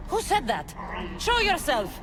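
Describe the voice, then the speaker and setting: A woman's voice: very high-pitched, wildly swooping pitch, a little slow, over music, exaggerated voice. A woman playing a bold, blunt and protective young warrior heroine in a dramatic video game cutscene. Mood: defiant, wary. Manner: blunt, challenging, determined.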